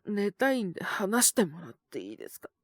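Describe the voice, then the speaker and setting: A woman's voice: medium pitch, wildly swooping pitch, fast talker, dry recording, studio quality. A woman voicing a sick, tired young male teacher in an audio drama, brusque and sighing, with a weary mutter. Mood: curt, weary. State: feverish, coughing. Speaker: voice actor. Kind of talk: Japanese situation-voice audio drama.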